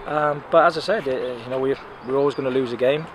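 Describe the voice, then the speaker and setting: A man in his thirties, a football club manager, giving a frank, animated post-match interview outdoors beside the pitch, with many "um"s and "you know"s. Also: fast talker, crowd noise. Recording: loud outdoor noise with passing traffic.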